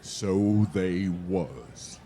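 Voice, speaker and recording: Man playing a slow, sneering cowboy bully. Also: sits low, lively intonation, warm tone, full character voice. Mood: quietly menacing. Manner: taunting, sneering drawl. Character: mocking, menacing male cowboy bully in western saloon. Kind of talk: western radio drama acting. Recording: music behind the voice.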